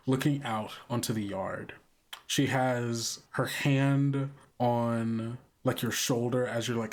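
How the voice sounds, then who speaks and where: A man narrates a tabletop role-playing game as its game master. He speaks very slowly in a mid-pitched voice, casual and measured, leaving pauses between phrases. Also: a little hiss or hum.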